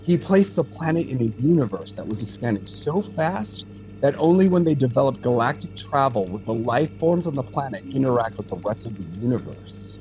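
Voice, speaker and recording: An actor playing an experienced, thoughtful male alien data center technician in an audio drama, explaining things in a measured way. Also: medium pitch, very animated, over music, rough recording. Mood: matter-of-fact, explaining.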